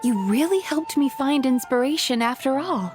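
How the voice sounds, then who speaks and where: An actress playing a gentle, polite, thoughtful young professional woman in a video game. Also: sits high, lively intonation, moderate pace. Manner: polite, thoughtful, soft-spoken. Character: gentle, polite young female psychologist and aspiring writer. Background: music.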